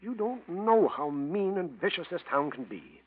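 An actor in 1940s radio acting, playing a gentle, worried middle-aged husband who stammers and hesitates. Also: male voice, high-pitched, lively intonation, moderate pace, breathy edge. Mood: hesitant. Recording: muffled old radio broadcast with faint hiss.

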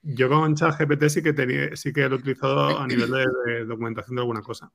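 A man's voice: medium pitch, steady tone, quick pace, vocal fry, crowd noise. A man with an accent from Spain chatting informally as an interviewed screenwriter, often checking in with short tag questions. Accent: Spain Spanish. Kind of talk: casual chat.

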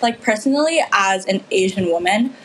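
A woman's voice: mid-range voice, expressive, moderate pace, fairly bright tone, slight background noise, low-quality recording. A teenage girl from the Philippines talking earnestly and with feeling in a casual discussion, with frequent fillers. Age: teens. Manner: earnest, impassioned, many fillers.

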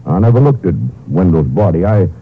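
An actor playing a defensive, folksy small-town Texas sheriff in a 1950s radio crime drama. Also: male voice, low-pitched, very animated, a little fast, husky, heavily acted. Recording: thin narrow 1950s radio recording.

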